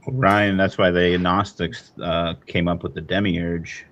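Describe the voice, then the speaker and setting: Very deep, monotone man, blunt; lo-fi call audio. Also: measured pace, no echo. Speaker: panelist. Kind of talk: casual panel discussion.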